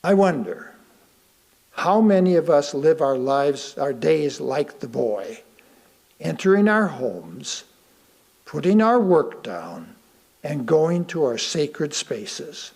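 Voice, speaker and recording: A man reading a sermon aloud to a congregation, deliberately, pausing between short phrases. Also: fairly high pitch, very animated, creaky, a little mellow. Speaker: guest speaker reading a sermon.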